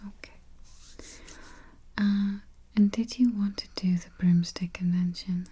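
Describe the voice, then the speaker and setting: A woman doing a soft, breathy, mostly whispered ASMR roleplay as a politely morbid vampire travel agent, murmuring with dry understatement close to the microphone. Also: medium pitch, steady tone, measured pace. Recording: faint hiss, close keyboard typing and clicks. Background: typing, clicking and gentle tapping.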